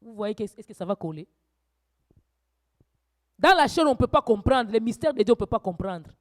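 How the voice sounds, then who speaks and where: A woman preacher teaching a church sermon, swinging from quiet asides to loud, urgent exhortations. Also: fairly high pitch, animated, moderate pace.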